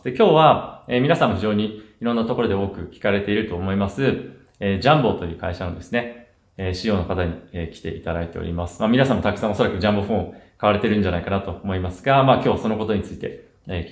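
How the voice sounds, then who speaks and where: Quick-talking man host with echo. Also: deep voice. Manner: polite, many fillers, careful English questions. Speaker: podcast host. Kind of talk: bilingual interview hosting. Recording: roomy echo.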